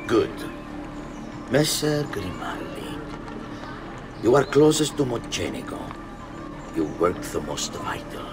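An actor playing a commanding, menacing middle-aged master conspirator with an Italian accent, speaking deliberately in a dramatic game cutscene. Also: male voice, medium pitch, sing-song, music in the background. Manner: imperious, deliberate.

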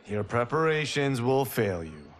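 An actor playing a cocky, boastful young village farmer and martial artist in a video game cutscene. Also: male voice, mid-range voice, very animated, a little slow. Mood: respectful. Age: young adult. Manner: boastful, teasing.

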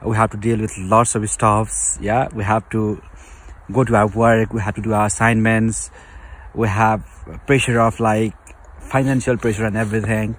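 A man's voice: lowish voice, flat delivery, moderate pace. A young man in his twenties with a Nepali accent, chatting casually in a vlog, full of fillers like "like" and "uh", filmed on a phone held at arm's length. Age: 20s. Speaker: vlogger. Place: college gym and campus halls, indoors. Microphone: phone camera at arm's length.

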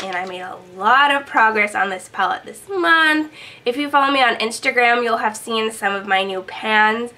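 Young woman, congested, bright tone, chatty, upbeat, small room, close camera mic. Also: mid-range voice, slight background noise. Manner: chatty, upbeat, many ums. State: congested, stuffy from a cold. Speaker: beauty vlogger. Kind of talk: casual product update to camera. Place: small indoor room at home.

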